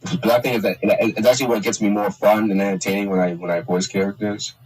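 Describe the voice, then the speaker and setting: A young man chatting casually on a stream, halting with many restarts and fillers. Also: lowish voice, steady tone, darkish tone, recorded from a distance, slight background noise, poor audio. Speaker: streamer and hobby voice actor. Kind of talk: casual chat on a live stream.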